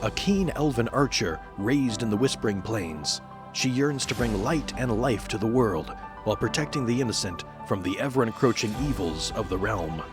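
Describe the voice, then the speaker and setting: A man narrates in a low voice with a grand, epic delivery. The reading is scripted and dramatic, with prominent music running behind it. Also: lively intonation, normal speed. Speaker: narrator of character introductions.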